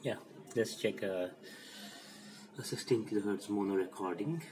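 A man giving an informal hands-on electronics demonstration in a hesitant, halting way. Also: on the low side, background noise. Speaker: presenter of an electronics demo.

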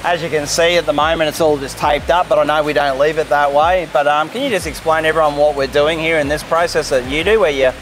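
A man's voice: on the high side, fairly even intonation, fast talker, few pauses, a bit bright, slight background noise. A man, giving an informal walk-through tour of a caravan factory as company head. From Australia. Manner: upbeat, informal, gestures at fittings while walking. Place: large indoor caravan factory and van interior.

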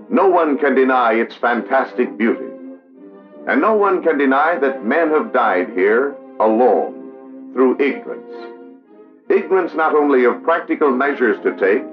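An American man giving measured, solemn, scripted narration in the style of a 1960s training documentary. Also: medium pitch. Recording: dull vintage film soundtrack. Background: music.

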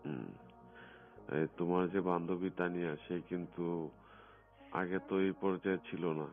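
A man with a deep voice talks privately on the phone, coaxing and insistent. The sound is thin and muffled like a lo-fi call recording, with music behind him. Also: flat delivery, moderate pace. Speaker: phone caller in a recorded conversation.

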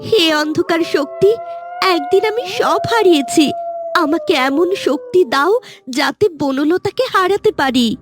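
High-pitched scheming jealous sister. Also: female voice, animated, measured pace, music in the background. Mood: vengeful. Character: scheming, jealous young sister plotting revenge, feigning sweetness. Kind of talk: Bengali fairy-tale cartoon acting.

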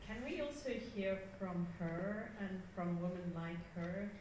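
A woman in the audience asking a deliberate, probing question. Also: mid-range voice, animated. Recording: very echoey hall from far off, noisy.

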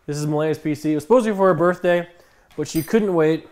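A man's voice: a little high, fast, clear, resonant tone. A man in his early thirties hosting a casual live stream from his home kitchen, blunt and dry in his replies. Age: early 30s. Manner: blunt, dry, deadpan refusals. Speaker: streamer host.

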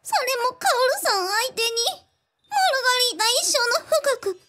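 A woman's voice: extremely high pitch, big pitch swings, a little fast. A woman playing an earnest genius little girl in a radio drama, speaking with quaint, archaic, samurai-like politeness. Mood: solemn. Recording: music behind the voice. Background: music.

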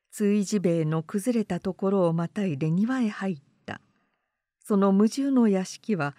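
A woman narrator reading literary fiction aloud in a measured, composed manner. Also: medium pitch, mellow, no echo, studio quality.

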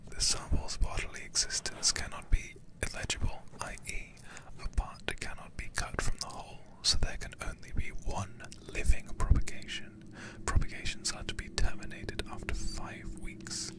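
A man streaming in a hushed ASMR style, reading horror game text deliberately into a close microphone. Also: rough recording.

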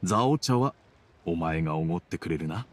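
Formal middle-aged father, expressive intonation. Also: low-pitched. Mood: calm, fond. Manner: measured, formal, slightly awkward phrasing. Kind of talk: Japanese anime game dialogue acting.

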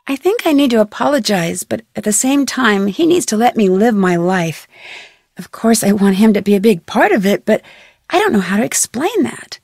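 An American actress playing a curious, polite, plucky young woman detective in a video game, speaking scripted lines in a clear, earnest way. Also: medium pitch, big pitch swings, normal speed, darkish tone, no echo, studio quality, heavily acted. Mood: curious, friendly.

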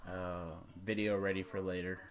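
A man in his thirties giving a casual, unscripted movie review as a vlog host, rambling and halting, with some mild swearing. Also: sits low, level tone, noisy background. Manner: rambling, halting, mildly profane. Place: small room indoors at home.

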